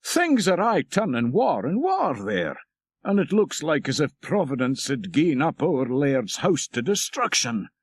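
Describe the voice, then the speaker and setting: Storybook role: male reader as scandalized old Scottish countrywoman, gossipy and urgent, pitched high, swooping delivery. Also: huge pitch swings, measured pace, slightly rough, no room sound, exaggerated voice. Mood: alarmed.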